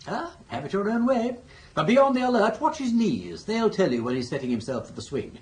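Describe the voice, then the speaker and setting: An actor playing a breezy, mischievous elderly gentleman with an upper-class English accent in a radio comedy drama, witty and teasing. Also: high voice, very animated, fairly quick.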